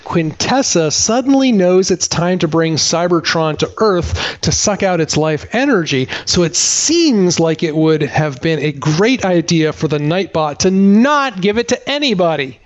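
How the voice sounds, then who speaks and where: High-pitched sardonic man recapping a movie. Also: highs cut off. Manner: sardonic, exasperated. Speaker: podcast host. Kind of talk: comedic movie recap read in dialogue with co-host.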